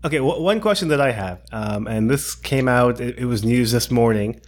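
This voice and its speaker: A man's voice: medium pitch, moderate pace. A man co-hosting a tech podcast, chatting casually in a hesitant, rambling way that hedges as he goes. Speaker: podcast co-host.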